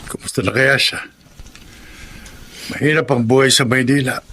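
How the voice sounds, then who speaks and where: Man acting a bright-voiced Filipino farmer father. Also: medium pitch, steady tone, normal speed, a little hiss or hum, lightly acted. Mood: concerned. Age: middle-aged. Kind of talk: naturalistic TV drama acting.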